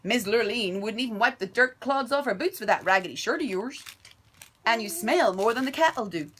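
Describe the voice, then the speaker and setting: A woman's voice: fairly high pitch, expressive, brisk, breathy edge. A woman voicing mean, bossy young cowboy stepbrothers in a storybook, barking orders. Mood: mocking. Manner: loud, barking, mocking.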